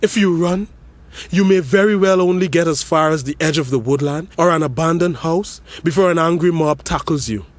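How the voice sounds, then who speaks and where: A man's voice: a little high, normal speed, no echo, natural voice. A man playing an accusing grieving brother. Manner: intense, accusing, swings from hushed to shouting. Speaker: eulogy speaker at a funeral. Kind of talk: dramatic audio story monologue.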